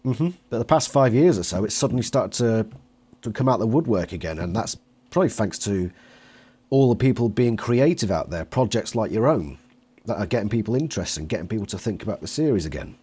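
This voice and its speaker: A man's voice: fairly low pitch, lively intonation, fairly quick, warm tone, breathy edge. A British man in his late twenties chatting casually and with opinions as an interviewer. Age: late 20s. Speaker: interviewer, longtime fan.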